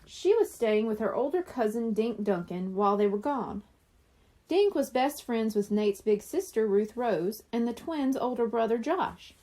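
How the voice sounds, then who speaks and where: A woman with a fairly high voice narrates a children's story. Her reading is expressive and scripted, with very animated pitch movement that suits an audiobook for young listeners. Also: measured pace, low-quality recording.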